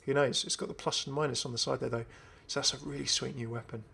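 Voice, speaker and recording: A man's voice: medium pitch, level tone, fast talker, vocal fry, pushed and bright, breathy edge. A young man giving casual, enthusiastic commentary on a video game. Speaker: gaming video host.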